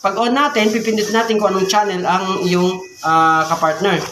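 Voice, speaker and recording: Male Filipino vlogger, explanatory unboxing delivery, higher-than-average pitch, roomy sound with clear background noise. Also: steady tone, normal speed, a bit bright. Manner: repeats check words like "alright" and "okay", explanatory. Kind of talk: casual unboxing and tutorial. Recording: echoey room with background noise and clicks.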